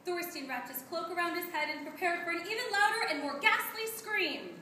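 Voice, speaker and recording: A woman's voice: extremely high pitch, brisk, sharp tone. A woman narrating a scripted puppet-show story as its storyteller. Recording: echoey room, noisy, distant mic.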